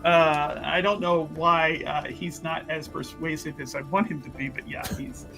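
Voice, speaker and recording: A man chats casually as a player in a tabletop roleplaying game. His voice sits a little high, and music plays behind it. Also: fairly even intonation, moderate pace, vocal fry, rough recording.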